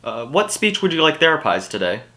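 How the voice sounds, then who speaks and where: Man as deadpan, lilting speech therapist. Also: a little high, brisk. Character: polite, unimpressed male speech therapist.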